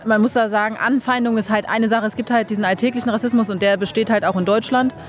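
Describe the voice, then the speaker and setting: A woman's voice: fairly high pitch, steady tone, fast talker. A young woman, a bobsleigh pilot, answering interview questions frankly and casually, with many fillers. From Germany.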